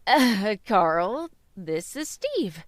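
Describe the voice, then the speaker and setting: A woman's voice: medium pitch, big pitch swings, very slow, dry recording, studio quality. A woman acting in an old-time radio drama as a flustered, protective young mother, polite and emotive.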